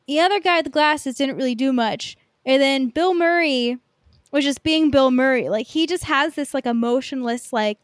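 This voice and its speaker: Female co-host, higher register, unhurried, tentative casual chat, dry sound. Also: no room sound. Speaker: podcast co-host.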